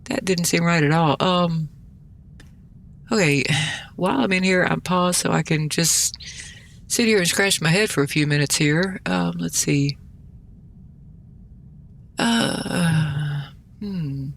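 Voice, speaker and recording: A gaming host with a creaky, fry-laden voice talks casually through a puzzle. The delivery rambles and mutters with gentle self-mockery, over a faint bed of noise. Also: mid-range voice, slow pace, pauses often. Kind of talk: casual gameplay commentary, thinking aloud.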